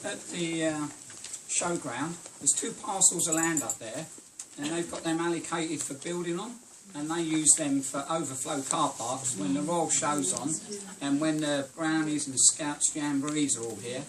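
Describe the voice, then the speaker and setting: A man's voice: fairly high pitch, normal speed. A man asking pointed questions as a resident at a public meeting. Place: council meeting hall. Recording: distant, with a crowd around.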